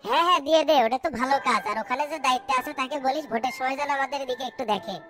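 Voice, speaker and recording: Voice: high voice, racing, over loud music, lightly acted. A performer voicing scheming, boastful small-town political men in a cartoon comedy, blustering colloquially.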